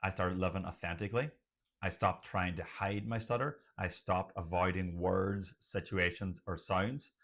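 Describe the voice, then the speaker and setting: A middle-aged man who has a stutter, speaking earnestly and emphatically to viewers in short, measured phrases, with a motivational tone. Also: sits low, fairly even intonation, vocal fry, a bit bright. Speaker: doctor sharing personal experience of stuttering. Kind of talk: motivational personal talk to viewers.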